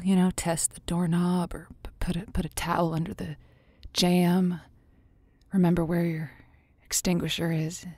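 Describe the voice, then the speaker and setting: Tender, haunted female call-in host for an audio drama: lowish voice, deliberate pauses, intimate dry sound. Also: a little mellow, dry recording. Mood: haunted, hesitant. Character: haunted, tender woman radio advice host. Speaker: radio advice show host. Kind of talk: audio drama as late-night call-in radio.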